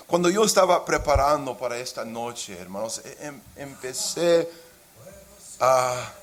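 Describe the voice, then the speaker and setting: A man's voice: medium pitch, lively intonation, measured pace. A man preaching a sermon in Spanish, though English is his first language, with impassioned delivery and occasional slips into English. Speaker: pastor.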